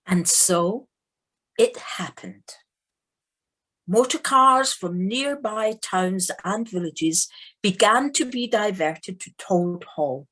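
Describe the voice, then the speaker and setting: A woman's voice: mid-range voice, lively intonation, slow pace, a bit bright. A woman narrating an audio play as a storyteller, theatrical, with emphasis on key words. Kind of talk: scripted dramatic storytelling. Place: living room, recorded over video call.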